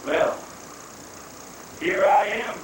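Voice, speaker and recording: A man's voice: sits high, extremely slow. A man playing a clownish, self-satisfied office newcomer in an amateur satirical stage monologue. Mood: smug. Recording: echoey, noisy, distant live recording.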